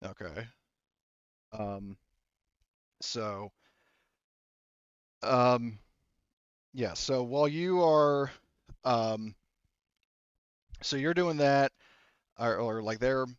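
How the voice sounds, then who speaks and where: A man running a tabletop game as game master, narrating casually and haltingly with informal, sweary phrasing. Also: medium pitch, clean tone, no room sound, highs cut off.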